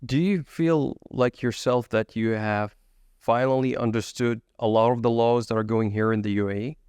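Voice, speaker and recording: A man's voice: mid-range voice, steady tone, moderate pace, a little mellow, smooth, dry recording. A man hosting a podcast, asking his guest plain, direct questions. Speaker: podcast host.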